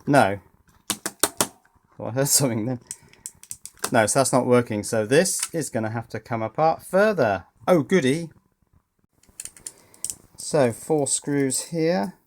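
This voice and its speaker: A man's voice: medium pitch, slow pace, pauses often, a little mellow. Man musing aloud over a gadget teardown. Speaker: electronics hobbyist presenter. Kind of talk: unscripted teardown commentary.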